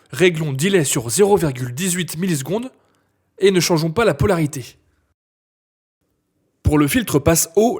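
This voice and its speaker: Male instructor, slightly high husky voice, scripted step-by-step software tutorial read.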